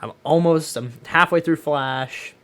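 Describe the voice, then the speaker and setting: A man's voice: a little high, measured pace, fairly bright tone. Opinionated man co-hosting a casual podcast. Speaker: podcast co-host. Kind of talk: casual pop-culture discussion.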